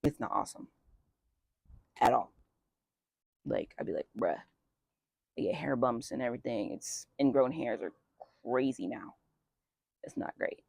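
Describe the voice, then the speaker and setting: A young man chatting casually outdoors in a light breeze as a vlogger, with lots of fillers and wry asides. His voice is just starting to deepen early on testosterone. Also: lively intonation, a little slow, creaky, pauses often, a little mellow, husky, no echo. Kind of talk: casual life update chat.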